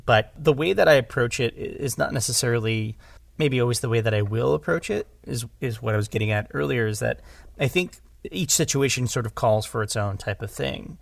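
A man talking casually as a podcast guest about his craft as a director, halting and animated as he thinks aloud. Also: medium pitch, vocal fry, dry recording. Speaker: audio drama director, interview guest.

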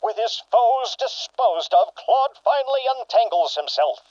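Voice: medium pitch, expressive, measured pace, a little mellow, close to an everyday voice. An actor playing a solemn, melodramatic comic-book serial narrator, announcing with suspense in a radio play. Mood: grandiose. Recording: dull lo-fi radio-style sound.

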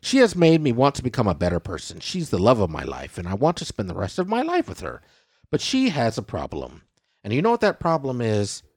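A man's voice: medium pitch, wildly swooping pitch, brisk, breathy edge, no echo, clean studio sound. A man hosting a comedy podcast, ranting theatrically and peppering his talk with "okay?" and "I digress.". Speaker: podcast host. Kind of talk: casual comedic podcast banter.

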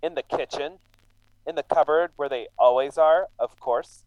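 A man's voice: a little high, normal speed, a little mellow, no room sound, muffled recording, lightly acted. An actor playing a scrawny, resentful immigrant man with an aggrieved, brooding manner in a radio drama. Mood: defiant. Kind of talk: true crime radio drama acting.

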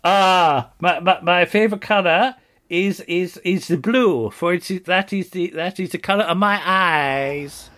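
A man voicing a pompous, fussy prince with an odd put-on accent, speaking in halting, stammering restarts and grand formal phrases in an improvised comedy sketch. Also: sits high, a bit bright.

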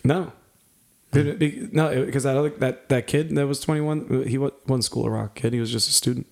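Male podcast co-host, mid-pitched, dry and sarcastic, reads listings aloud. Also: fairly even intonation, brisk, gravelly. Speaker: podcast co-host, band member. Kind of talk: casual chat, reading listings aloud.

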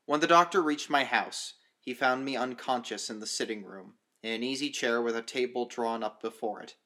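A man narrating a classic horror story as an audiobook, in a formal, measured literary reading. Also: mid-range voice, sharp tone.

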